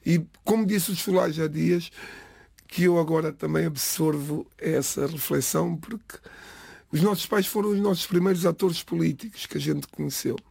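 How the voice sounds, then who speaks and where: A gravelly, slightly husky man in his forties speaks with a European Portuguese accent, his voice pitched a little high. He talks in a measured, deliberate way, restarting phrases and listing names one by one. The sound is close and dry. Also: darkish tone, no room sound. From Lisbon area, Portugal. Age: 40s. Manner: measured, lists names slowly, frequent restarts. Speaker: interviewee. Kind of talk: reflective personal testimony.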